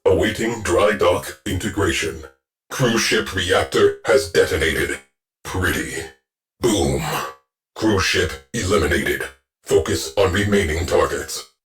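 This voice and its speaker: An actor playing a gruff male ship AI that gives clipped, deadpan military orders to a warship crew in a video game. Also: low voice, slow pace, soft background music. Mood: terse. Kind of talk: game voice lines.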